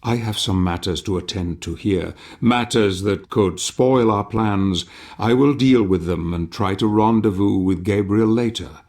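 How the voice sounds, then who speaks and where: An actor playing a grave, scheming knightly ally who narrates a dark fantasy game in solemn, measured, formal phrases. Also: male voice, fairly low pitch. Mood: secretive, grave. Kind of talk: dramatic scripted game narration.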